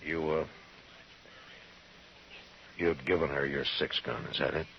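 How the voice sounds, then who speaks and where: Deep-voiced steady frontier marshal. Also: male voice, gravelly, a little hiss or hum, full character voice. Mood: grave, probing. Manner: measured, grave, plainspoken. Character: steady, humane but firm frontier marshal. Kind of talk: old-time radio western acting and narration. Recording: muffled old radio recording.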